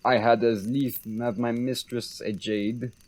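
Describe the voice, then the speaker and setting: A man's voice: medium pitch, level tone, a little slow, a little mellow, dry recording, natural voice. A young man acting a sardonic French military commander in a Shakespeare radio play, with a dry, mocking delivery. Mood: wry sparring. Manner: dry, wry.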